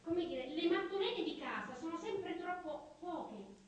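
Un'attrice che interpreta una piccola donna stramba, loquace e apprensiva, in un dialogo di radiodramma, con modi enfatici, autoritari e ripetitivi. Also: female voice, extremely high pitch, animated, unhurried, a little hiss or hum. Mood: fussy. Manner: repetitive, emphatic, bossy. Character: talkative, fretful, quirky small woman who dreads finished things. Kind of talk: theatrical radio drama dialogue. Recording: very echoey, distant stage-like sound.

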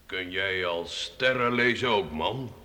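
An actor playing a gruff, hot-tempered knight in a radio play, contemptuous and blustering. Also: male voice, deep voice, slow, bright, forceful tone, full character voice. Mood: scornful.

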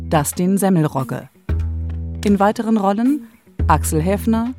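A woman announcer reading scripted radio-play credits in a measured, neutral way. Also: fairly low pitch. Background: guitar music.

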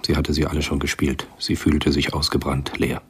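A man narrating a radio drama from a script in a measured, sober storytelling manner. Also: rumbling low, monotone, husky, no echo.

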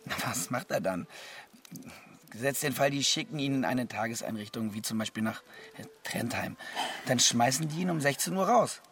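A man's voice: medium pitch, animated, moderate pace, fairly bright tone. An actor playing an earnest, conscientious, slightly touchy middle-aged man in a naturalistic radio drama, methodical in manner. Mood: doubtful.

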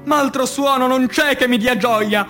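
A man, an actor, reciting poetry in a declamatory, impassioned theatrical style that swings between hushed and loud. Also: very high voice, moderate pace. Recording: music under the voice. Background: music.

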